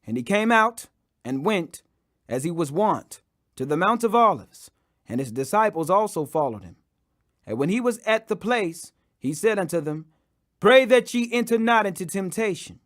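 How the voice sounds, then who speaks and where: A man's voice: a little high, big pitch swings, normal speed, fairly bright tone. A man reading scripture as an audiobook narrator, solemn and emphatic, declaiming the quoted speech. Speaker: audiobook reader.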